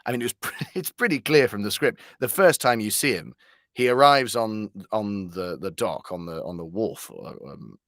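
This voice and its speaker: A British man giving a conversational interview as a voice actor, telling anecdotes in an animated, halting way with self-corrections. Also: lowish voice, dry recording, studio quality.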